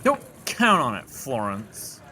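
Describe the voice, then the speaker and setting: A man's voice: mid-range voice, huge pitch swings, slow, sharp tone, heavily acted. Guy doing a cocky teen bully, swoopy taunting delivery, podcast drama. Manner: sneering, cocky. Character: taunting male teenage school bully, cocky rival.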